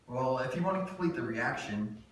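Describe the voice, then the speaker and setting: A man's voice: medium pitch, animated, brisk, distant mic, natural voice. A man voices a cocky, teasing young scientist in a table read. He sounds set back from the mic in a very echoey space, with a faint hiss behind him. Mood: smug teasing. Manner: smug, teasing. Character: cocky young male grad student James. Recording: echoey room, mic from afar, faint noise.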